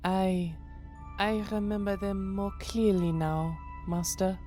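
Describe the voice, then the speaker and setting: A woman playing an earnest young female Jedi apprentice who speaks haltingly in a dramatic comic dub. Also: mid-range voice, steady tone, mellow, faint music behind, close to an everyday voice. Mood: solemn, grateful.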